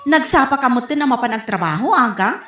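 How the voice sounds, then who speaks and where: Woman playing a high-pitched questioning housemate. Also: expressive, fast talker, fairly bright tone, slight background noise. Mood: puzzled, teasing. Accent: Ilocano. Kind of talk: Ilocano radio comedy drama acting. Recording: echoey room sound.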